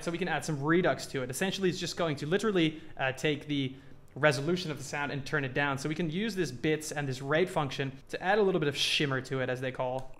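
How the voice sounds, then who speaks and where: A man's voice: on the high side, a bit bright. A man giving a casual tutorial as a music production instructor, informally thinking aloud as he demonstrates.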